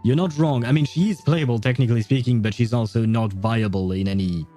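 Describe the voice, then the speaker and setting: Man, livestream host, mellow dark tone, mid pitch, casual rambling gaming chat, faint music behind. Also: fairly quick. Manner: rambling, thinking aloud, chuckles at own jokes.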